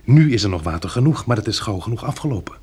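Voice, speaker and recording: An actor playing a practical, resolute man planning survival after a catastrophe in a Dutch radio drama, hesitating as he thinks aloud, with a husky, gravelly voice. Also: lowish voice, lively intonation, fast talker, darkish tone. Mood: urgent.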